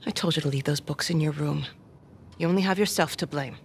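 A woman playing a stern, weary nun lecturing in a measured, reproachful way in a video game cutscene. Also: deep voice, vocal fry, slight background noise. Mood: stern scolding. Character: stern, weary nun scolding a boy in her care.

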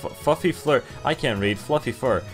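A man's voice: medium pitch, expressive, soft background music. A young man giving casual game playthrough commentary and reading text aloud, stumbling a little as he goes. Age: young adult. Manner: halting, stumbling while reading. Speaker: game streamer. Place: indoor room, webcam recording.

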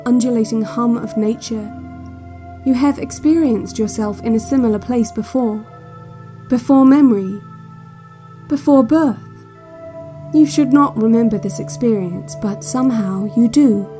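A female relaxation cassette guide for an audio drama. Her voice is fairly high and soft-edged, measured and soothing, yet quietly ominous and in control, over faint new-age music.

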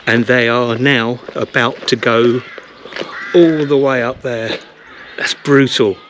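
Man, fifties, mid-pitched, casual walking vlogger, arm's-length camera mic, noisy outdoor ambience. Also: fairly even intonation, slow pace, a bit bright, highs cut off. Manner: chatty, enthusiastic asides. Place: village church and lanes, outdoors. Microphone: handheld camera mic at arm's length.

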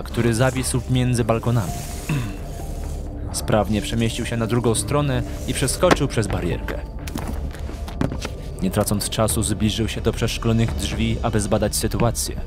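A man narrating a scripted audio drama with measured storytelling delivery. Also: lowish voice, fairly even intonation, over loud music.

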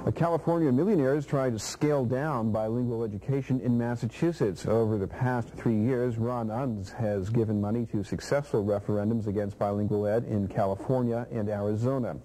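An older man anchoring a local TV news show, reading the lead-in and questioning a reporter in the studio with halting, measured phrasing. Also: medium pitch, fairly even intonation, background noise. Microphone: lapel mic.

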